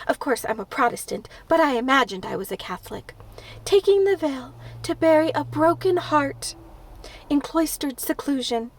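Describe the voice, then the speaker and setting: Dramatic chatterbox girl, voiced by a woman reader, high and lively, storybook read-aloud. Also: dry recording. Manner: breathless, dramatic, gushing. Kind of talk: audiobook character voice.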